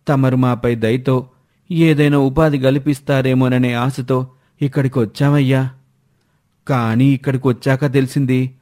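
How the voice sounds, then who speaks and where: A man's voice: mid-range voice, mellow, lightly acted. A man voicing a humble, earnest young forest villager who speaks respectfully in a cartoon moral story. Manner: respectful, pleading.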